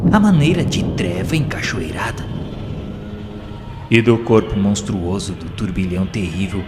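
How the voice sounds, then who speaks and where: A man with a Brazilian accent reads a scripted audio novel as its narrator, in a solemn, formal and measured literary manner. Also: mid-range voice. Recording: long hall reverb, music underneath. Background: background music.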